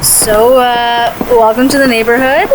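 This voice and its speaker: A young woman playing an awkward, excitable teenage high schooler in an audio play. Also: measured pace, noisy background, natural voice. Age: teens to young adult. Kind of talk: youth audio play acting.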